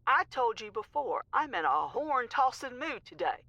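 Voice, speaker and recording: Woman playing a sassy, brusque garage receptionist, bright-voiced. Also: fairly high pitch, very animated, normal speed, no room sound, highs cut off, heavily acted. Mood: irritated, sarcastic. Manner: sarcastic, brusque, wisecracking. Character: sassy, rude, short-tempered female garage receptionist. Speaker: receptionist character in a comedy audio drama. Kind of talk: comedy audio drama acting.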